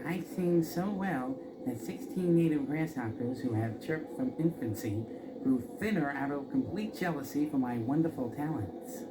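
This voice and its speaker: Here is a narrator putting on a pompous storybook grasshopper, pitched well down from their usual talk. The insect sounds self-satisfied and vain. The old record adds clear noise. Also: lively intonation, moderate pace, lightly acted. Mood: boastful. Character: vain grasshopper of ancient family, low-voiced.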